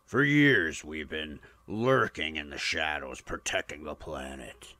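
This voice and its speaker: Alien warlord for sci-fi audio play: deep, forceful, grave, drawn-out declamation. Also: male voice, no room sound, exaggerated voice. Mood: grave, ominous. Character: menacing alien warrior commander demanding repayment. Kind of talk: sci-fi audio drama acting.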